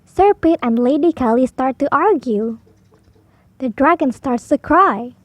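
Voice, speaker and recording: A woman reading a children's picture book aloud slowly and clearly, with expressive delivery for beginner readers. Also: high-pitched, no room sound. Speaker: storybook reader. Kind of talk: slow children's read-aloud.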